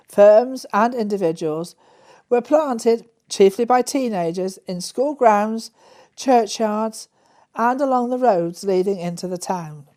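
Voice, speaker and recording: A woman's voice: mid-range voice, dark tone. A woman reading scripted documentary narration.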